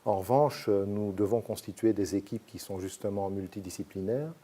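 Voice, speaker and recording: A man from Belgium, a sociologist explaining his research in measured, hesitant sentences. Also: lowish voice, mellow. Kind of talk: academic interview answers.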